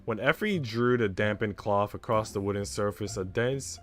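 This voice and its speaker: A man livestreaming, chatting casually with slangy, sweary talk and reading a visual novel aloud, with music softly behind him. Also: fairly low pitch, normal speed. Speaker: live streamer.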